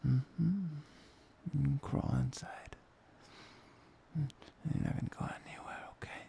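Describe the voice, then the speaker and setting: A man performing an intimate boyfriend ASMR roleplay, murmuring softly and tenderly, breathy and often dropping to a whisper. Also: mid-range voice. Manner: soft, tender, murmuring with long pauses.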